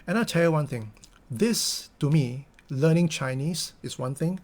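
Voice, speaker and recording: Earnest Singaporean man, higher voice. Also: a little slow. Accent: Singaporean English. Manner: earnest, many fillers and restarts. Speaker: company founder giving a talk. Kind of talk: motivational talk.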